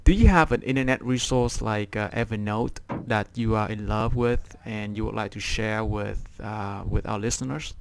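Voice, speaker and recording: A man hosting an interview, asking questions with halting restarts. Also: on the low side, creaky, slight background noise. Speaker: interview host.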